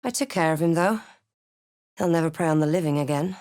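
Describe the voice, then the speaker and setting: A woman's voice: deep voice, brisk, a little mellow, close to an everyday voice. A woman playing a determined, snarky adventurer and spellcaster in a video game, with clipped, theatrical delivery. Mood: wary, matter-of-fact.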